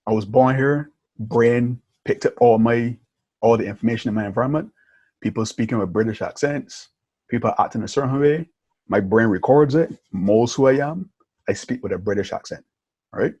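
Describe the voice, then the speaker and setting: A man's voice: medium pitch, moderate pace, darkish tone, husky, no room sound, muffled recording. A man who grew up in Barbados, hosting a podcast in a casual conversation, telling stories animatedly and often checking in with "yeah?" and "right?". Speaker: podcast host.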